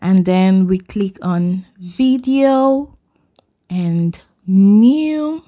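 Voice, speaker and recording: A woman's voice: mid-range voice, warm tone. A young adult woman narrating a step-by-step video tutorial as its host.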